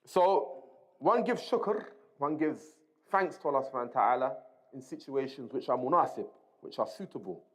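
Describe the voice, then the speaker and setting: A man's voice: medium pitch, lively intonation, moderate pace. A man giving an informal Islamic lesson, explaining point by point in a conversational way, repeating key phrases and checking in with short tag questions. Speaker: religious teacher reading and explaining a book.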